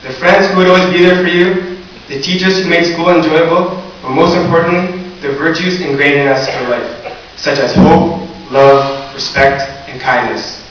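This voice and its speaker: A teenage young man giving a graduation speech, earnest with light humor. His voice sits a little high for a man. It is recorded from far back in a very echoey hall, with a faint hiss. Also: steady tone, normal speed, a bit bright, muffled recording. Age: teens. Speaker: valedictorian. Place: large echoey hall or gym. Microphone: recorded from far off in the audience.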